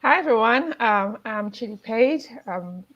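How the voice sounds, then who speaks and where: A woman, a game designer and educator, chatting on a video-call panel with hesitant pauses and fillers. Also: a little high, lively intonation. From Nigeria. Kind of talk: casual panel chat. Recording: dull lo-fi sound.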